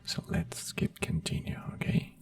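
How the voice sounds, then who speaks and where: A man doing a soothing ASMR doctor roleplay in a slow whisper, with frequent fillers and phrasing. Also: a little mellow, dry recording, distant mic.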